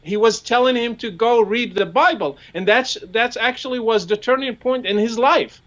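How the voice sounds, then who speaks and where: A man with an Arabic accent speaks in a notably high voice, stacking up rhetorical questions and repeating words as he works through an argument. His audio comes through dull and lo-fi, like a remote call. He speaks Arabic natively. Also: moderate pace, a bit bright. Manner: repeats words while building points, asks rhetorical questions. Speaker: guest commentator. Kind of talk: discussion on a live show.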